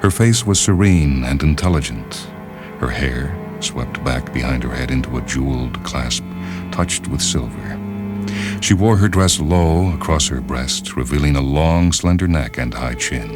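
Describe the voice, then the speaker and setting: A man with a strikingly deep, bass voice narrates a short story. His delivery is grave, measured and dramatic. Orchestral music plays clearly behind him. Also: lively intonation. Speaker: narrator and reader.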